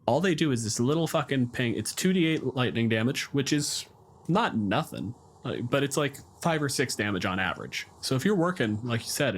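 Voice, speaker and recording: A man's voice: mid-range voice, fairly bright tone, a little hiss or hum. A man casually discussing tabletop games, explaining in a wry, sweary way.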